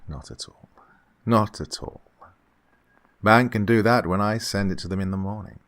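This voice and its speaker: A man's voice: on the low side, moderate pace, fairly bright tone, lightly acted. A man playing a falsely genial, sinister employer in a radio suspense drama, over-courteous, unctuous and insistent. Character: falsely genial, sinister male employer luring a young woman. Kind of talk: old-time radio suspense drama acting.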